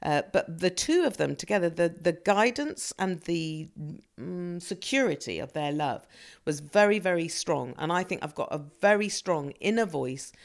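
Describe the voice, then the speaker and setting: A middle-aged woman with a low voice and a gravelly edge of fry. She talks in a reflective, searching way and restarts her sentences as she works out what she means. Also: lively intonation, moderate pace. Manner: thoughtful, self-searching, many restarts. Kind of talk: reflective casual conversation.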